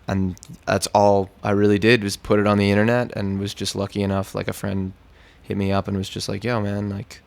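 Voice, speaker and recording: Casting: American male musician, casual interviewee, laid-back slangy talk, lowish pitch with heavy vocal fry. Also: monotone, fairly quick, hardly any pauses. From New York, United States.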